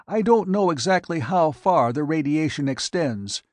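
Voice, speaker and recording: A man voicing a cautious hospital physician who speaks in a hurried, apologetic way. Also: fairly high pitch, animated, a little mellow, dry recording, clean studio sound, voice pushed up, lightly acted. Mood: worried.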